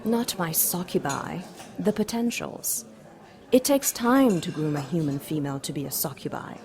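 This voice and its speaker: A woman's voice: fairly low pitch, normal speed, darkish tone, natural voice. A woman voicing a seductive, imperious demon queen in an audio drama, coy, mocking and theatrical. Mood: haughty, indignant. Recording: crowd chatter behind the voice. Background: crowd chatter.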